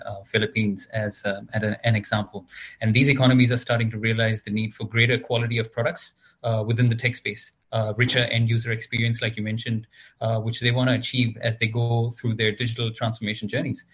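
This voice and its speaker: A man from Malaysia and Singapore, a technology executive answering interview questions in a measured way with frequent fillers. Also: medium pitch, fairly bright tone, highs cut off. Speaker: guest, technology consulting executive. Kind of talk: business interview.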